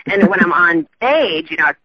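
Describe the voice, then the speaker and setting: A woman's voice: lowish voice, animated, normal speed, husky, dry recording. Woman, light Southern accent, chatty, upbeat, dry close sound, slightly dull radio audio. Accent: Southern US, softened. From American South. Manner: chatty, upbeat, laughs often, many fillers. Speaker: entertainment news reporter, guest. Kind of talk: radio interview.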